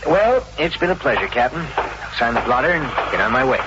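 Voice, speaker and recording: A man's voice: medium pitch, big pitch swings, a little fast. A man playing a busy, plain-spoken police station official in a 1950s radio drama, conversational and matter-of-fact. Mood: placating. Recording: dull noisy vintage radio recording.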